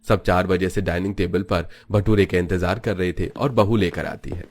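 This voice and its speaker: A man's voice: sits low, steady tone, moderate pace. A man reading scripted narration for a moral story.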